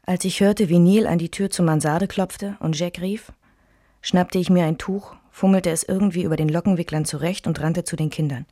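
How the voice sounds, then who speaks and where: A woman's voice: lowish voice, fairly even intonation, normal speed, warm tone, faint music behind, natural voice. An actress playing a weary wife and mother who recalls her troubled marriage in restrained, literary radio play narration. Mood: tense, bitter.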